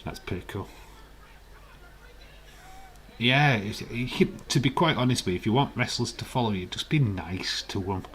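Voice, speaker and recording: A man with a croaky, hoarse voice, hosting a casual live stream, chatting in a rambling way and reading out viewer comments. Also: lowish voice, normal speed, long pauses, a bit bright.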